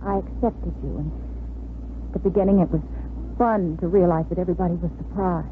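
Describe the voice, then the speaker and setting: An actress playing an earnest, conflicted young bride-to-be in 1950s radio drama style, speaking hesitantly and dramatically. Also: female voice, fairly low pitch, fairly even intonation, fairly quick, close to an everyday voice. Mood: wistful, confessing. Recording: tinny, noisy old radio broadcast.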